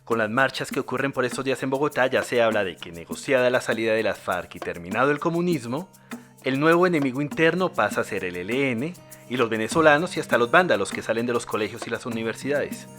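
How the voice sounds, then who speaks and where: A man's voice: mid-range voice, expressive, fast talker, a bit bright, soft background music. A man reading scripted documentary narration as the narrator.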